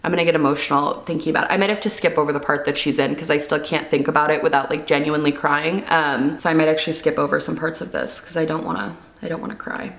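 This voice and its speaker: A young woman reacting to her old videos at home, chatty with many "like" fillers, her pitch swinging as her feelings change. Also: fairly even intonation, fast, vocal fry, few pauses, echoey room. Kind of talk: casual reaction chat.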